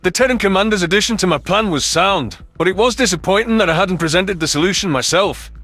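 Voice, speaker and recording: A man's voice: sits high, fast, a bit bright. A man reading scripted first-person science fiction narration as an audiobook narrator.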